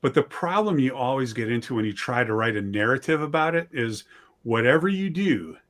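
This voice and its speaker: A man's voice: mid-range voice, animated, a little fast, fairly bright tone. A middle-aged man chatting casually as an interview guest, with folksy, self-deprecating phrasing. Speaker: interview guest, audio drama writer. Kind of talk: casual chat.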